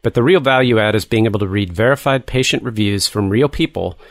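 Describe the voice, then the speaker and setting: A man's voice: on the low side, brisk, no echo, clean studio sound. A man reading a scripted, first-person podcast advertisement as a host.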